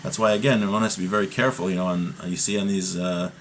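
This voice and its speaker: Male religious teacher, lowish voice, explanatory and informal, working through a text, faint background noise. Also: fairly even intonation, fast talker, a bit bright. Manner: explanatory, checks with "right?", repeats key phrases. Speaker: religious teacher giving a class.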